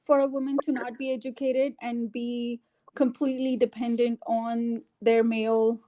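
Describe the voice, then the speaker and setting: A woman's voice: on the high side, little pitch movement, normal speed, dark tone. A middle-aged woman from India with an Indian English accent, telling her life story in an interview, with many fillers and restarts. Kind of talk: casual conversational interview.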